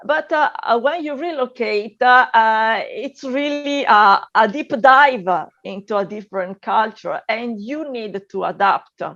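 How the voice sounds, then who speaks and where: A woman's voice: on the high side, measured pace, hardly any pauses, a bit bright. Italian woman, hesitant, slightly muffled. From Italy. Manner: hesitant, many filler sounds. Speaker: panel guest. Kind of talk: video panel discussion.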